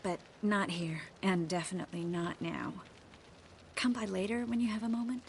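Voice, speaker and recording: An actress playing a guarded, earnest young sorceress in a video game. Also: female voice, medium pitch, steady tone, moderate pace, slight background noise, close to an everyday voice.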